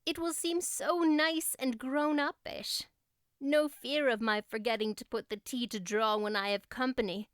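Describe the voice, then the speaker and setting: Audiobook role: woman as exuberant young girl, high clear voice, gushing and dreamy. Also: fairly even intonation, a little slow, dry recording, clean studio sound, lightly acted. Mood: excited, delighted. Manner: gushing, breathless enthusiasm. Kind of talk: audiobook character voice.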